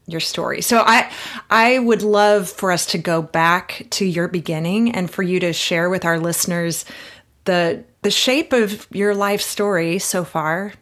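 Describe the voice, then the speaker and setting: A woman in her thirties hosting a podcast, talking with an old friend in a warm, affectionate way and laughing easily. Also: lowish voice. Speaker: podcast host. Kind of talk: casual podcast interview.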